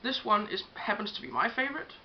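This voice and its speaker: A woman's voice: a little high, lively intonation, fast talker. A young woman from Denmark with a Danish accent, talking through makeup brushes in a casual, hesitant way with many ums, English not her first language. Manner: chatty, hesitant, many ums while showing products. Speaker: makeup vlogger. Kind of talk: casual beauty tutorial. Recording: dull lo-fi home recording with faint hiss.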